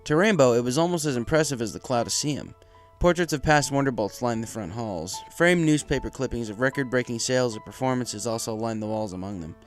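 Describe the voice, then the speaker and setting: A man with a mid-pitched voice narrates a scripted story. He reads as the narrator of fan fiction, with music playing faintly underneath. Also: a little fast, hardly any pauses. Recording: music behind the voice.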